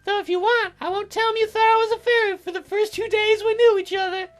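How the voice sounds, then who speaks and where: Woman putting on a high, girlish, teasing fairy. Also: steady tone, fast talker, soft background music. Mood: playful teasing. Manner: girlish, teasing, sing-song delivery. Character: playful, teasing young girl cloud fairy. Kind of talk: visual novel character read in a let's play.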